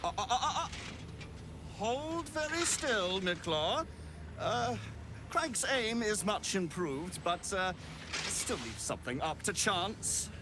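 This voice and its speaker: An actor playing a haughty, theatrical wizard in a video game, smugly menacing, with a pompous and deliberate delivery. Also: male voice, high-pitched, big pitch swings, background noise, heavily acted. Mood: imperious, playful. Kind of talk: video game villain acting.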